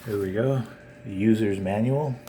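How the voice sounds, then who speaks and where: A man hosting a casual, unscripted unboxing vlog, talking in a halting way with frequent pauses. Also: on the low side. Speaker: video channel host. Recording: clear background noise.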